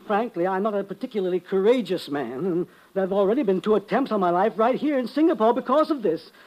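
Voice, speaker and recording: A timid importer stumbles through his story in a high, thin voice, stammering and halting as he goes. in an old-time radio drama, hesitant and jittery. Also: lively intonation, darkish tone, poor audio. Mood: nervous. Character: nervous timid male importer, middle-aged. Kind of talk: 1940s radio acting.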